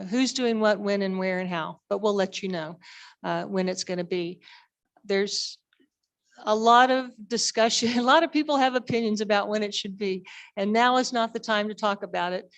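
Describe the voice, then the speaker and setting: A woman presenting informally to a members meeting as an organization director, in a halting, conversational way. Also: on the high side.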